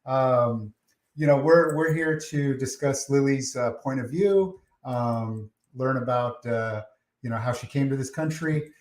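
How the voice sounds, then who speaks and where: A man hosting a podcast interview, friendly and halting with many fillers. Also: medium pitch. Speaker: podcast host. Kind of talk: casual interview. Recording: echoey room.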